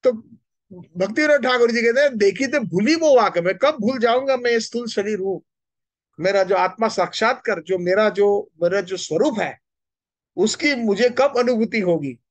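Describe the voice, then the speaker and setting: Middle-aged male temple speaker, bright high voice, animated and questioning, close dry mic. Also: normal speed, dry recording. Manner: animated, gesturing, asks the audience questions. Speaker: spiritual speaker. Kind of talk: Hindi devotional lecture. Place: temple hall indoors. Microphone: stand mic close to mouth.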